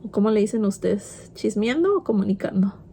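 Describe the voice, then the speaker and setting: A woman's voice: medium pitch, animated, quick pace, warm tone, slight background noise. A young woman with a Spanish accent, a native Spanish speaker, chatting casually while doing her makeup and dropping in Spanish words. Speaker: vlogger. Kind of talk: casual get-ready-with-me chat.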